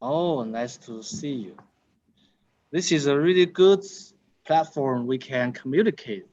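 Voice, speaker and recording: A middle-aged man from China, chatting in halting English with his online tai chi class over a video call. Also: mid-range voice, animated, a little mellow. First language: Chinese. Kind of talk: casual chat with online class. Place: martial arts hall. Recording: slightly dull video call audio.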